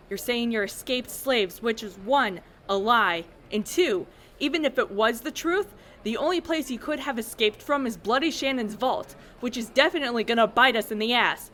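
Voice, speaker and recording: A woman acts a hostile female enforcer in a wasteland audio drama. Her voice sits fairly high, and she speaks with sneering authority, accusing and suspicious of outsiders. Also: fairly bright tone, slight background noise, lightly acted. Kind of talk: post-apocalyptic audio drama acting.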